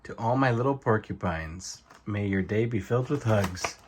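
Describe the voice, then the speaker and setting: A man reading a children's bedtime story aloud in an expressive narrating style. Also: on the low side, moderate pace, background noise. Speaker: storybook reader.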